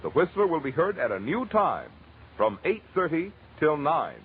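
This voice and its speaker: A man's voice: fairly high pitch, wildly swooping pitch, moderate pace, husky, phone-quality sound. A man with an American accent announcing a radio programme in formal, emphatic 1940s style. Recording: thin vintage radio recording.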